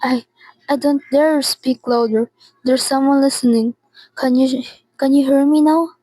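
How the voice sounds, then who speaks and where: A teenage girl acting in a radio drama as a frantic, demanding invalid woman alone at night, anxious and repeating herself haltingly. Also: sits high, fairly even intonation, mellow, no echo. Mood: panicked, pleading. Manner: anxious, imperious, halting repetitions.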